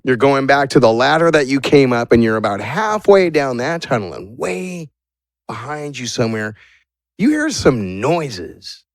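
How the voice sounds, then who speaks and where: A man acting as game master, narrating an improvised tabletop game in a halting, conversational, jokey way. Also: mid-range voice, very animated, no echo, clean studio sound.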